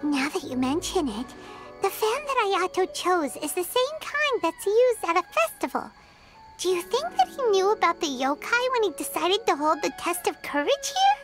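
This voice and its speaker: Squeaky, tiny chatty fairy, Paimon. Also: female voice, very animated, moderate pace, over music. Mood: curious. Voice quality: squeaky, childlike. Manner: chirpy, speaks of herself in third person. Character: tiny chatty childlike female fairy companion. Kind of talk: video game voice acting.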